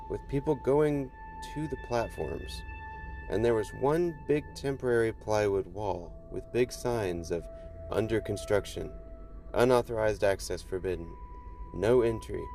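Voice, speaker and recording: Very deep, slow-reading male narrator. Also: dark tone. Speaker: podcast host and reader. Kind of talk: scripted narration of first-person creepy stories. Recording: music under the voice. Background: background music.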